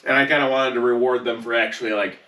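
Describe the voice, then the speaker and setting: A man with a bright, forceful voice rambles casually as a podcast co-host, cursing now and then. His pitch is average, and the room echoes around a distant mic. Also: fairly even intonation, fast. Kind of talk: casual panel chat. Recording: roomy echo, distant mic.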